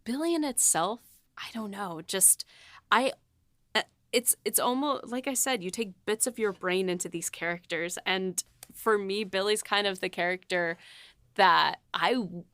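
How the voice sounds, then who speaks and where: A woman's voice: mid-range voice, measured pace, a bit bright, no room sound. A woman writer co-hosting a casual behind-the-scenes talk, rambling with lots of fillers and laughs. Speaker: writer and podcast co-host.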